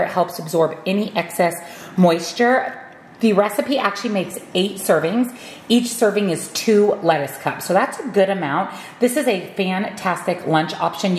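A woman's voice: medium pitch, echoey room, a little hiss or hum. A woman talks through a meal prep recipe in an upbeat, step-by-step way. Her voice carries the hollow ring of a home kitchen, with faint sounds behind her. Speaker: cooking vlogger.

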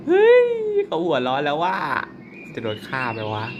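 A young man commentating his own mobile werewolf game in a chatty, excitable way, polite but slipping into laughter. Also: fairly high pitch, moderate pace, highs cut off, background noise. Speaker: gaming YouTuber.

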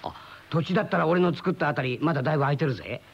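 A man's voice: a little high, racing. An actor playing a chatty, wry friend in his thirties in a radio drama, explaining things colloquially. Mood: easygoing. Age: 30s. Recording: dull lo-fi old radio recording.

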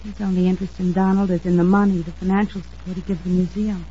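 A woman's voice: fairly low pitch, flat delivery, fast talker, warm tone, slight background noise, lightly acted. An actress playing an anxious, earnest young woman in an old-time radio drama, with dramatic, hesitant delivery. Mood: bitter, earnest. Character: earnest, anxious young woman, defensive about her sweetheart. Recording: dull old radio recording.

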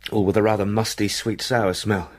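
An actor as a husky, nervy young English solicitor. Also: male voice, on the low side, flat delivery, moderate pace, lightly acted. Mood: composing himself. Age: young adult. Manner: talking to himself in short, clipped phrases. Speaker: actor in a radio drama. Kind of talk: British radio drama acting.

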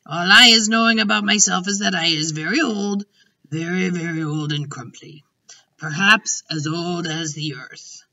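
Storybook giant, ancient, gentle, proud, childlike played by a woman; forceful, drawn-out, garbled grammar, slightly dull distant recording. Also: on the low side. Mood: matter-of-fact. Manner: mangled grammar and invented words.

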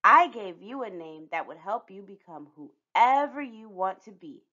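Woman playing a reassuring storybook mom, very animated. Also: mid-range voice, a little slow, muffled recording. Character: loving, reassuring mother of a small girl.

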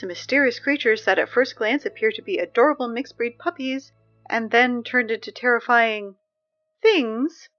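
A woman plays a chatty young announcer whose patter keeps tipping into fluster. Her voice sits fairly high. The recording is slightly dull, with faint music under it. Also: lightly acted. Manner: chatty, growing flustered and panicky. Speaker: school announcer. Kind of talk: audio drama acting.

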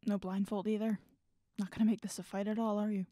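A mellow-voiced young woman plays it guarded and understated, with wry remarks. She is acting in an audio drama. The recording is dry and close. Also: mid-range voice, flat delivery, fast talker, no room sound, close to an everyday voice. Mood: stern. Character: guarded, wry young adult hiding old love.

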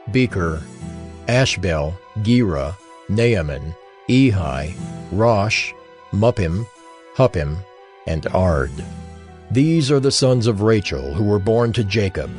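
A man with a low voice narrates scripture slowly and solemnly. His intonation moves widely, and soft music plays behind the reading. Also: expressive. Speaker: Bible narrator.

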